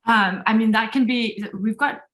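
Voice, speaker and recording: A woman musician from Denmark answering interview questions in a relaxed, thoughtful way. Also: on the high side, normal speed. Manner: relaxed, thoughtful, trailing off and restarting.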